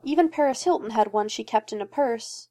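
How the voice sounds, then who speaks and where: A woman's voice: a little high, warm tone, lightly acted. A woman reading a story aloud, voicing a wisecracking male mercenary who rambles from joke to joke. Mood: manic, joking. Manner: fast rambling jokes, breaks into laughter. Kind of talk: fan fiction reading.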